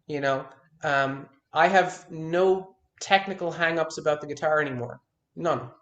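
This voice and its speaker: A man's voice: fairly high pitch, a little slow, fairly bright tone, roomy sound, highs cut off. A man in his thirties, a guitar teacher, talking frankly in a one-on-one consultation and restarting his words as he stresses his points. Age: 30s.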